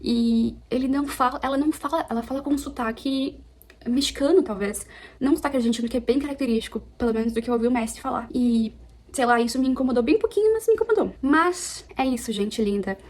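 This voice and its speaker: A young woman from Brazil, chatting casually at home as she reviews a book, animated and often correcting herself. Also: high-pitched, quick pace. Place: apartment at home.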